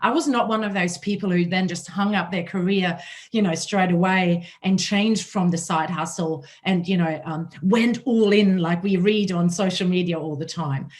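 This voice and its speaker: A woman with a German accent and a raspy voice, hosting a panel talk and telling her own story in a conversational way. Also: on the low side, normal speed, darkish tone. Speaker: host.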